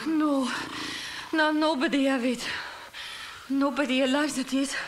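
A soft-hearted French doll played by a woman gushes in broken English with a French accent. The voice sits high and bright in this comic radio play, theatrical and sweet. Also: steady tone, unhurried, background noise. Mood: anxious.

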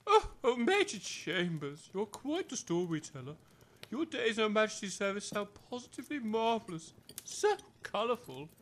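An actor playing a genteel, effusive village vicar with an English accent, over-polite and fawning, in a comic audio drama. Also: male voice, high voice, very animated, moderate pace, a bit bright, full character voice. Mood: gushing.

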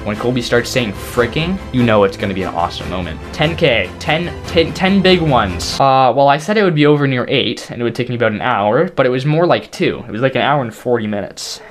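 Excitable teen boy with a strained voice. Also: medium pitch, soft background music. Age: teens. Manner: excitable, jokey, talks fast in bursts. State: strained voice from overuse. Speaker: YouTuber. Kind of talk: casual stream chat to camera. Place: small bedroom indoors.

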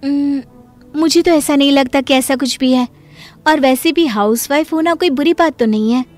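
Woman voicing a meek, very high-pitched young housewife. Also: moderate pace, soft background music, lightly acted. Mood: earnest. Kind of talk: animated Hindi family story dialogue.

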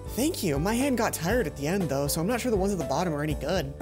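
Man putting on a chirpy teenage schoolgirl. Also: fast talker. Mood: eager. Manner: raised pitch, excited reading. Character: cheerful teenage schoolgirl, eager and sweet. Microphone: headset. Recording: game music behind.

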